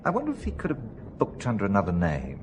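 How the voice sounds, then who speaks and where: A man's voice: lowish voice, wildly swooping pitch, quick pace, background noise. An actor playing an uneasy, dryly polite businessman with a hesitant, understated manner in a radio drama. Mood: puzzled. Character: uneasy, dry British businessman awaiting a friend.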